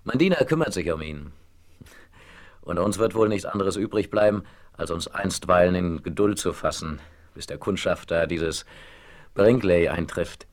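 An actor playing a polite, businesslike railway official of the Old West in a German audio drama. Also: male voice, deep voice, animated, moderate pace. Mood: courteous.

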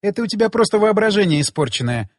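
A man reading as a proud teasing woman, husky. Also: high voice, big pitch swings, fast talker, in a large hall, voice pushed up. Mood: wry, teasing. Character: proud, teasing young woman, lover of the hero.

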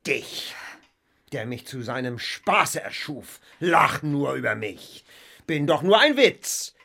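Radio drama lead: bitter, tormented cripple railing at heaven, slow and declamatory. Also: male voice, a little high, very animated, gravelly, sharp tone, full character voice. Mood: defiant, accusing. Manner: declamatory, brooding, theatrical. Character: bitter, anguished man on crutches, railing against God. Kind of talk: expressionist German radio drama.

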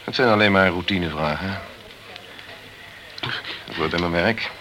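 A noisy radio drama recording of a dogged male investigator with a low voice. He interrogates insistently and coolly, pressing and persuading as he probes for answers. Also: a little slow. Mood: probing, insinuating. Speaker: actor in a crime radio drama. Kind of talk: crime radio drama acting.

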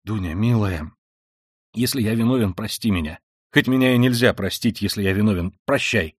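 A man reading an audiobook voices a tormented, proud and feverish young man who speaks quietly and wearily and flares into shouting rage. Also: fairly low pitch, moderate pace, husky, no echo, clean studio sound. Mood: pleading. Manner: quiet and weary, then bursts into shouting rage. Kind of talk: audiobook reading of a classic novel.

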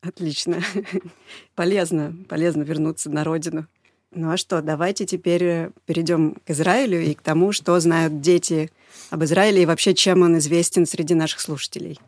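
A woman's voice: sits low, fairly even intonation, moderate pace, darkish tone, no room sound. A woman hosting a podcast in a friendly way, introducing guests and guiding the talk. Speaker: podcast host.

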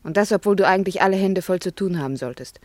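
A woman plays a doctor's wife in a radio drama. She is earnest and worried, pleading in a measured, gently insistent way. The recording is dry. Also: medium pitch, no room sound, natural voice. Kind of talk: German radio play acting.

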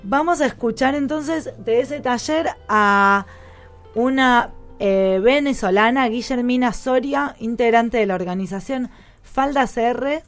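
A woman presenting a live radio broadcast, introducing recorded clips in a relaxed way with frequent fillers. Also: on the high side, unhurried, soft background music.

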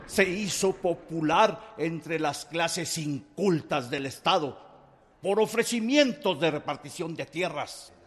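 A formal, severe head of government in a historical radio drama. He speaks slowly and solemnly, with measured emphasis, in a slightly high male voice. Long reverb surrounds him like a large chamber. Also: a bit bright. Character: formal, stern interim president addressing the senate, 1911. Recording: long echoing hall reverb.

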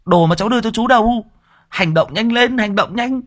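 A man voicing a brash young man, hurried and scolding, raised high above his own voice. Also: a bit bright. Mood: urging.

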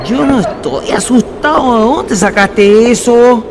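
A man's voice: very high-pitched, animated, slow pace, very noisy, full character voice. An actor playing a blustering, drunk Chilean villager in a radio drama, out with his friends at night. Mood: uneasy bluster.

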